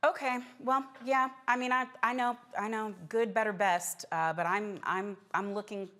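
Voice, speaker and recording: A woman discussing politics casually as a panelist, in a halting, conciliatory manner. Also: medium pitch, fairly even intonation, bright, forceful tone.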